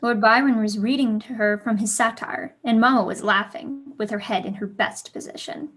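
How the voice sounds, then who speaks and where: A woman's voice: on the high side, steady tone, moderate pace, a bit bright, natural voice, low-quality recording. A woman playing a precocious, passionate teenage pupil in a staged period play, eager, witty and argumentative. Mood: eager, gossipy.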